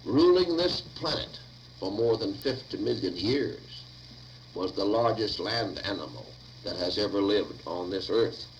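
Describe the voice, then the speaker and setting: A man dictating lecture notes aloud in a deliberate, formal way, recorded on 1950 reel-to-reel tape. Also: fairly low pitch, animated, slight background noise, low-quality recording.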